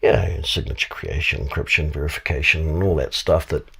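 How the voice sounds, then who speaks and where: A man with a cold and a very deep, husky voice, talking in a rambling way with many fillers in a casual discussion. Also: brisk, sharp tone. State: has a cold. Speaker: podcast guest. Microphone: studio microphone.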